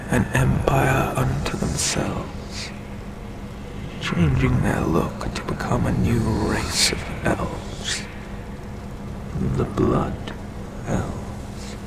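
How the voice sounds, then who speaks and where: A man voicing an ancient, sinister eldritch god in an ASMR roleplay, telling a story in a breathy, creaky voice that often slips into a whisper, with deliberate menace and long pauses. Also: medium pitch, noisy background, full character voice.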